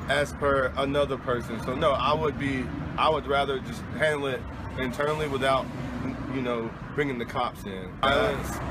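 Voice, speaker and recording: Halting, earnest man of thirty outdoors. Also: medium pitch. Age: 30s. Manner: earnest, halting, many restarts. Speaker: interviewee. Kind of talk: street interview. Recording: outdoor traffic noise.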